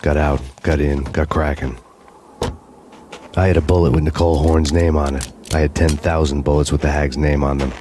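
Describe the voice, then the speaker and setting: An actor playing a brooding, vengeful hardboiled cop, narrating in a grim, deadpan noir style. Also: male voice, rumbling low, slight background noise.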